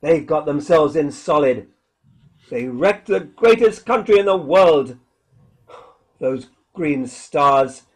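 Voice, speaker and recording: An actor playing a jumpy, defiant militiaman surviving alone, with a folksy, halting, agitated delivery in a radio play. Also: on the high side. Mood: grim, defeated. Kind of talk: dramatic radio play dialogue.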